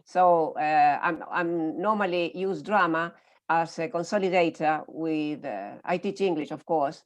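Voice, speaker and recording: A woman's voice: low voice, unhurried. A woman from Argentina, a teacher trainer, talking in a friendly, hesitant way with many fillers on a video call. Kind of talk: online round-table discussion.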